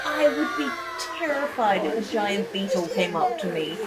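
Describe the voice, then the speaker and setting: A woman's voice: medium pitch, animated, a loud crowd, rough recording. A woman giving casual director's commentary, bantering in a contrary, teasing way. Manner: contrary, teasing, quick to argue.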